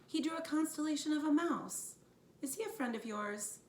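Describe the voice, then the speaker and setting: An excitable, inquisitive little girl acted by a woman in a storybook tale. Her voice sits high and keen, with a roomy sound a little off the mic. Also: moderate pace. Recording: roomy, distant mic, faint noise.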